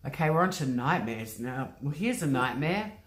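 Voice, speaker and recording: Very low-voiced woman chatting on a livestream. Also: lively intonation, moderate pace. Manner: chatty, rambling, often says um and like. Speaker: livestream host. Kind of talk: casual livestream chat reading comments. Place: at home.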